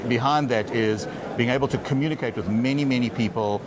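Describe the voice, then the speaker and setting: A man's voice: mid-range voice, brisk, a crowd in the background, poor audio. A man talking as a company executive in a trade show interview, often stumbling and repeating words at the start of his phrases.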